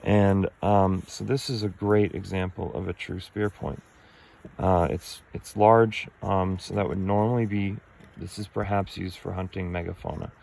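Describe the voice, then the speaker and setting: A low, gravelly man talking through a cold, his voice fraying as he rambles through an explanation. He hesitates constantly, filling gaps with ums and uhs. A steady hiss of noise sits under the recording. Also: moderate pace. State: losing his voice, a little sick. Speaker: artifact collector explaining finds. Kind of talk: casual show-and-tell explanation.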